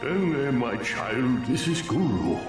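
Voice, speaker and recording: A man playing an aged, gentle alien patriarch in a video game cutscene, weary and solemn in a grave, measured way. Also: medium pitch, big pitch swings, music in the background. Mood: solemn, urgent. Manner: slow, grave, measured delivery.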